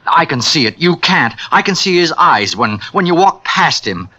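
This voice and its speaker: A man's voice: a little high, very animated, normal speed, bright, forceful tone, exaggerated voice. An actor playing a worried, lovesick male accomplice in a 1940s radio drama, pleading and warning. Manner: pleading, rising in alarm. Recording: muffled old radio recording.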